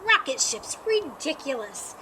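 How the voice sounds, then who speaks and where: A woman voicing a grumpy, picky male bear from a storybook, with a fussy, formal and often loud manner. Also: sits high, sing-song, measured pace, noisy background. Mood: demanding.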